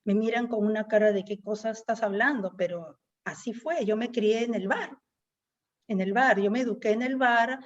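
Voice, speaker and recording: A woman's voice: fairly high pitch, normal speed, lo-fi sound. A middle-aged woman from Peru with a Peruvian Spanish accent, speaking as a guest poet in a reflective interview, often ending thoughts with "¿no?". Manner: reflective, with frequent "¿no?" tags and "este" fillers. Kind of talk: conversational interview.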